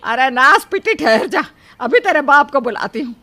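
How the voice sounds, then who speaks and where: A woman performs a theatrical old mother-in-law from a cartoon moral tale. Her voice is very high and dryly recorded. She scolds and laments in drawn-out, exasperated phrases. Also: animated, pushed and bright, no echo, full character voice. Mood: indignant. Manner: wailing, exasperated, drawn-out complaints. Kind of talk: cartoon moral story acting.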